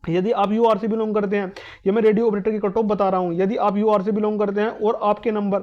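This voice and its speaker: High, rapid, flat-toned young man. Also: highs cut off. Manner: repetitive, emphatic, advising students directly. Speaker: exam coaching YouTuber. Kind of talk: fast explainer talk to the camera.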